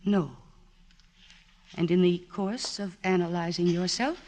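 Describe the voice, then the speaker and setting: A woman's voice: on the low side, unhurried, darkish tone, lightly acted. An actress playing a reserved, clinical woman psychiatrist in a 1940s radio drama, earnest, formal and precise in speech. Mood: clinical, reassuring. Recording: old radio broadcast, music behind.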